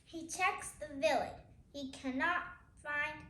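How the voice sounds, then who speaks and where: Slow, high-pitched child reading aloud. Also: sharp tone. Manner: halting, with childlike commentary. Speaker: child reader, host of a reading channel. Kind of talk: reading a picture book aloud with casual asides. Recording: echoey room.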